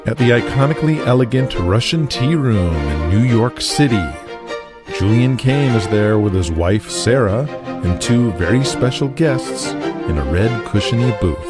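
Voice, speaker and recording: A man's voice: medium pitch, animated, measured pace, over loud music. A man narrating an audio drama in a scripted, present-tense storytelling style.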